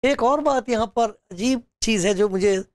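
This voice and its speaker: A man's voice: high voice, normal speed, no echo. Older man preaching in a studio. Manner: storytelling, acting out quotes with laughs and gestures. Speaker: pastor. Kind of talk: sermon to camera. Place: studio with bookshelves, indoors. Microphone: lapel.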